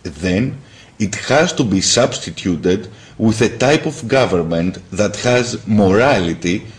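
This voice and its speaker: A man from Greece speaking English with a Greek accent as a non-native speaker, giving an earnest political statement to camera as a YouTuber, in slightly halting phrasing. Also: fairly low pitch, animated. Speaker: YouTuber and activist.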